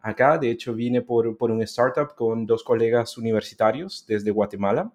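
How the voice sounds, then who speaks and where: A man's voice: mid-range voice, moderate pace, a little mellow. A man from America, a guest chatting in a podcast interview in a friendly, talkative way. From Central America. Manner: friendly, chatty, laughs easily. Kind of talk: casual interview chat.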